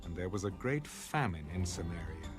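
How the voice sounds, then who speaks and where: A man's voice: sits low, animated, normal speed, over music. A man narrating scripture from a script in a dramatic audio Bible style.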